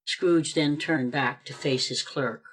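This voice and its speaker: A man's voice: a little high, slow, fairly bright tone. A man narrating a church radio play over a video call, reading expressively and building suspense.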